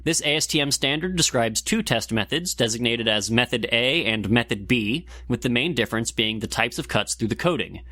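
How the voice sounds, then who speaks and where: A man's voice: medium pitch, expressive, normal speed, hardly any pauses, pushed and bright, no room sound, clean studio sound. A man presenting a scripted instructional segment in a methodical, step-by-step way. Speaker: segment presenter and lab technician. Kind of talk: scripted explainer narration.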